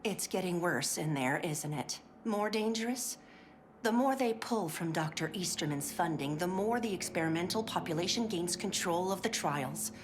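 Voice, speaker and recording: A chirpy 1950s clinic worker, sugary and polite but visibly fraying and pill-addled, voiced by a woman. Her voice sits a little lower than you'd expect, and she drops into breathy whispers. A steady hiss of background noise runs under her lines, as in a game voice-line rip. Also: normal speed, natural voice. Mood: passive-aggressive. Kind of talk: scripted game voice acting.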